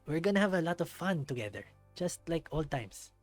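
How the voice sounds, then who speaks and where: Man voicing a cheerful young otter, lifted lively voice. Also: on the high side, brisk, faint music behind, pitched above the performer's own voice. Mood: warm, excited. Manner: upbeat, eager, mixing in Tagalog phrases. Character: Ollie, cheerful affectionate young male otter cousin. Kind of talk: visual novel character read-aloud.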